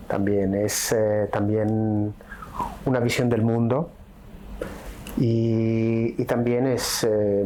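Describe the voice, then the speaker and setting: A middle-aged man from Italy with an Italian accent, a podcast guest speaking in a reflective, unhurried way and often ending thoughts with a question tag. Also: lowish voice, darkish tone, slight background noise. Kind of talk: casual conversation.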